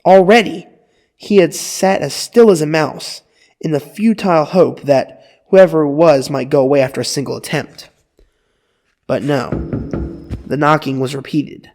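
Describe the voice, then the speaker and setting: A man narrating a dramatized literary audiobook with earnest, dramatic phrasing and deliberate pauses. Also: on the high side, darkish tone. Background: guitar music.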